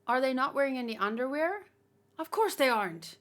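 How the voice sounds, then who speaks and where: A woman's voice: high voice, sing-song, a little fast, fairly bright tone. A woman giving informal, opinionated commentary as she hosts a casual live read-along and talks with chat. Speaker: manga read-along host.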